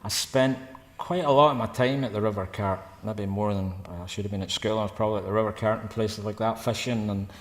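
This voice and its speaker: A man in his fifties with a Scottish accent from Paisley, chatting casually between songs at a concert and telling stories in a brisk, rambling way. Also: on the low side, fairly even intonation. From Scotland. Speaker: singer-songwriter performing a concert. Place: church. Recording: long church reverb with guitar.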